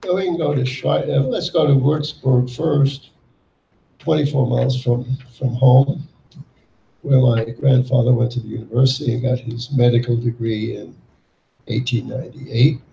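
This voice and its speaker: Gravelly elderly man, halting speech. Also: medium pitch, fairly even intonation, dark tone, dull audio, slight background noise. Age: 80s. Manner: halting, with many fillers and restarts. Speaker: Holocaust survivor guest speaker. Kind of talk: reflective public talk. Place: event hall with audience. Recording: echoey hall, mic from a distance.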